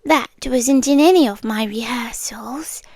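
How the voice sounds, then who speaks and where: A young British woman voicing an obsessive, doting girlfriend in an ASMR roleplay, speaking softly and sliding into close whispers. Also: fairly high pitch, a little slow, no echo. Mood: calm doting. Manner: soft-spoken, drifting into whispers, gently unsettling.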